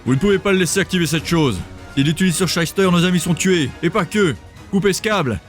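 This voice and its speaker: Man voicing a high, urgent, combative man. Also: fast, soft background music, lightly acted. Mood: urgent alarm. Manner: forceful, insulting, commanding. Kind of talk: dramatic audiobook dialogue.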